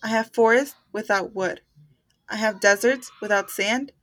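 A woman co-hosting a casual podcast, reading riddles aloud slowly and quietly and laughing between them. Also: medium pitch.

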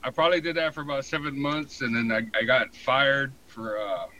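An American man in his forties, telling his story casually in an interview with a halting, colloquial delivery full of "you know". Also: mid-range voice, steady tone, bright, forceful tone, a little hiss or hum. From San Diego, born in Hawaii. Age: 40s. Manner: halting, colloquial, frequent 'you know'. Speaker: podcast guest, fire protection inspector.

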